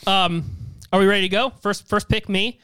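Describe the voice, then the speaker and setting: High clear guy voice, podcast host explaining stuff casually, says words over in little bursts. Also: steady tone, bright, forceful tone, dry recording. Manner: repeats words in quick bursts. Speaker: podcast co-host running the game.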